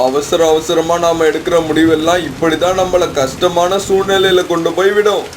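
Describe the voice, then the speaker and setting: A man voicing a sensible, fatherly bird in a cartoon story, speaking in a measured, advising way. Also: fairly high pitch, level tone, a little hiss or hum, lightly acted. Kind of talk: Tamil animated moral story acting.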